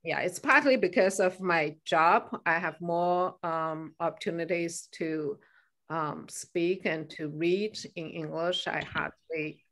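A woman's voice: fairly low pitch, slow pace, fairly bright tone. A middle-aged woman from China, a native Chinese speaker, answering questions on a video call, with many fillers and restarts as she thinks aloud. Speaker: guest speaker. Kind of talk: answering questions in an online meeting. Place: home room by a staircase.

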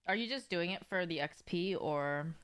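Clear-voiced young woman streaming casually. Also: fairly low pitch, expressive, normal speed, a bit bright. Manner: conversational, addressing viewers directly. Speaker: live streamer. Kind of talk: casual livestream chat while gaming. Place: indoor room at home.

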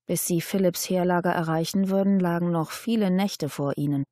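A woman's voice: fairly low pitch, moderate pace, dark tone, no echo, studio quality. A woman reading a novel as scripted audiobook narration.

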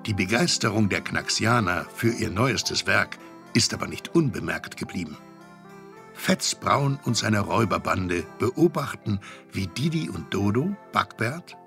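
A storyteller with a low voice and some gravel in it. He narrates a children's adventure radio play over soft music.

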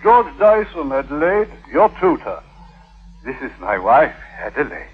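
Marito paterno, voce radiofonica metallica. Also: male voice, medium pitch, very animated, a little slow, husky. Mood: formal. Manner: formal, paternal, gently insistent. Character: earnest, fussy, doting husband, a Victorian grocer. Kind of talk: 1950s radio acting. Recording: tinny band-limited 1950s radio broadcast.

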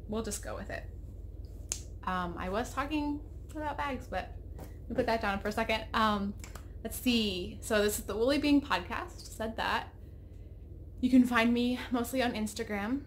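A woman's voice: fairly high pitch, normal speed, slight background noise. Chatty, rambling woman with a froggy throat. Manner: rambling, self-interrupting, chatty. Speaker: knitting podcast host. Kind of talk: casual chat. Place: home craft room with pegboard and window. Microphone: webcam at desk.

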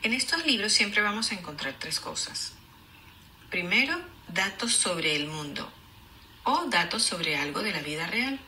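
A woman teacher reading aloud to children in a deliberate, explanatory way, enunciating for young learners. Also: medium pitch, sing-song, sharp tone, slight background noise, poor audio. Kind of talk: children's read-aloud lesson.

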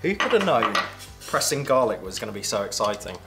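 A young British man co-hosting a casual kitchen gadget test, chatting with animated enthusiasm while he demonstrates. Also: on the low side, a little fast, over music. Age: young adult. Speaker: co-host. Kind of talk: casual product-testing chat. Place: indoor home studio set at a table.

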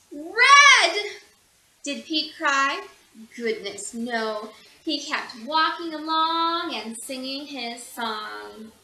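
A young woman reads a children's storybook aloud in an expressive, exaggerated, singsong way, recorded in a room at home. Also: sits high, far from the mic. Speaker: storybook reader. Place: small living room at home. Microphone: camera a few feet away. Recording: echoey room.

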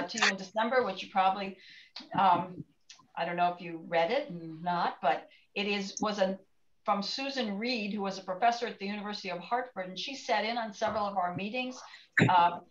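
A woman chairing a video-call committee meeting, brisk and organizing, talking through dates. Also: mid-range voice. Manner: brisk, organizing, thinking aloud. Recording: echoey distant lo-fi room with noise.

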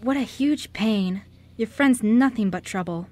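A woman playing an excitable, bouncy little girl, an eager kid sister in an amateur radio drama. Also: a little high, measured pace. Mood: scornful.

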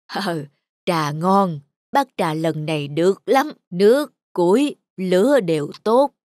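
A woman voicing a poor, humble old man who is a tea connoisseur in a cartoon folktale, speaking in a slow, courteous, old-fashioned way. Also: fairly low pitch, darkish tone, dry recording, clean studio sound. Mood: humble polite. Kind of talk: dubbed cartoon folktale acting.

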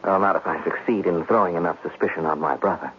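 An actor in a 1970s radio drama playing a cultured, scheming gambler with an articulate, evasive manner. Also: male voice, sits low, quick pace, lightly acted. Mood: coolly calculating. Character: scheming, cultured gambler plotting murder, feigning bewildered innocence. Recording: muffled, noisy vintage radio recording.

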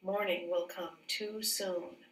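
A woman teacher reading a picture book aloud in a careful, expressive storybook manner. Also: fairly low pitch, slow pace, a little hiss or hum. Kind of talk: read-aloud storybook narration. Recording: roomy echo, distant mic.

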